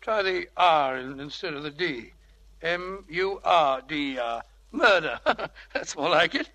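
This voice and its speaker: An actor playing an amiable, childlike upper-class English gentleman who stammers and blusters, in a 1940s radio drama. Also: fairly high pitch, expressive, unhurried, heavily acted. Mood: puzzled, genial. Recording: vintage radio broadcast with rough audio.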